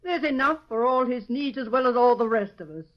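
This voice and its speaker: A woman's voice: a little high, brisk, close to an everyday voice. An actress in a 1940s radio western playing a feisty, outspoken older ranch woman with blunt, folksy frontier talk. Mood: indignant, defiant. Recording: dull vintage radio recording.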